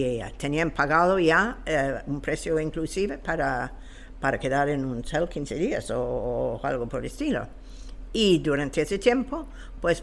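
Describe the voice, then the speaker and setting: A woman, explaining history as an interview guest in a hesitant, halting way as she searches for words. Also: deep voice, creaky, slight background noise. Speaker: guest expert on local history. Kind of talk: unscripted explanatory talk.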